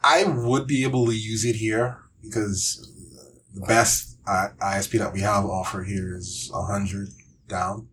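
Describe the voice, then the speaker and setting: Male co-host for a casual gaming podcast. Slightly deep, expressive, informal delivery, light background ambience. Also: a little slow, a little hiss or hum. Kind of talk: casual chat about video game news.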